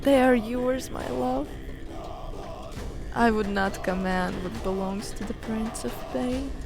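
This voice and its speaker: A woman playing a proud, fervent highborn noblewoman in a fantasy audio drama, speaking in a solemn, deliberate, theatrical way. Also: gravelly, over music. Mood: adoring, intense.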